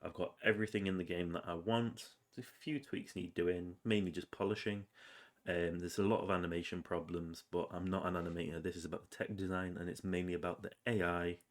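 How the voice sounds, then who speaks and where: Low-voiced man with a cold. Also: fairly quick. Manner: relaxed, rambling with many ums and uhs. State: has a cold, sniffly. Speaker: game developer. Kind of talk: casual devlog narration over gameplay.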